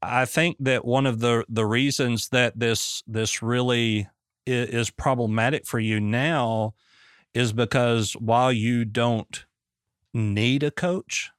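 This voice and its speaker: Measured male coach asking probing questions. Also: mid-range voice, fairly even intonation, fairly bright tone, smooth, no room sound, clean studio sound. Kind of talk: coaching conversation.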